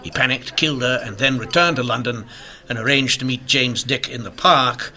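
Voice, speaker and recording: An actor playing a stern, driven Victorian inspector in a radio mystery, with emphatic, intense delivery. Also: male voice, medium pitch, moderate pace, bright, forceful tone. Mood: urgent explaining. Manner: emphatic, intense, clipped declarations. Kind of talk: old-time radio mystery acting.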